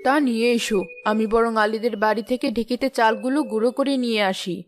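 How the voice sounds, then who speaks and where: A woman's voice: on the high side, fairly even intonation, quick pace, soft background music, lightly acted. A woman playing a busy, mildly scolding village mother in a cartoon, practical and no-nonsense. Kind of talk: cartoon voice acting.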